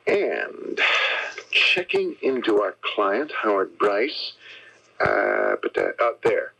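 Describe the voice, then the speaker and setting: An actor with an American accent playing an eager, theatrical younger detective in a radio mystery drama. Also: male voice, lowish voice, wildly swooping pitch, takes it slowly, pushed and bright, full character voice. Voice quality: gravelly. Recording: faintly noisy 1970s radio broadcast.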